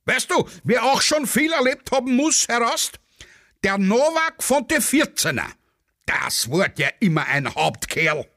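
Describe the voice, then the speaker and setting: Ein Mann spielt einen prahlerischen, plaudernden Offizier mit österreichischem Akzent. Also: male voice, extremely high pitch, very animated, unhurried, pushed and bright, no echo, clean studio sound, pitched above the performer's own voice, exaggerated voice. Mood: jovial boasting. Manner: chatty, bragging, dialect-heavy. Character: boastful Austrian army officer, gossiping.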